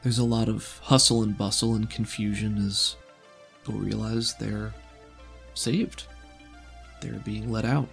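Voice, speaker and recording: A man with a gravelly voice, running a tabletop roleplaying game as game master, telling the story and explaining in a deliberate way. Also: fairly low pitch, steady tone, a bit bright. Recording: voice over background music.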